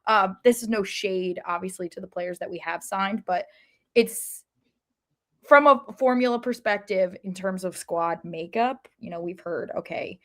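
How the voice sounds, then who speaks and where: A woman's voice: medium pitch, fairly bright tone. A woman co-hosting a soccer podcast in a casual sports chat, hedging and thinking aloud as she goes.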